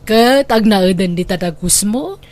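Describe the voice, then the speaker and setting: A woman's voice: medium pitch, lively intonation, unhurried, a little mellow, faint music behind, close to an everyday voice. A woman with an Ilocano accent playing a nosy, plain-spoken older landlady in a radio drama. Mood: inquisitive, frank.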